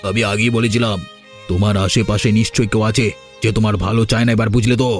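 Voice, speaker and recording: An eager young bachelor in a cartoon, voiced by a man, with a fairly low voice and quick pace. Faint music plays behind him. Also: little pitch movement, close to an everyday voice. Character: unmarried young man, scheming friend. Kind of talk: cartoon dubbing.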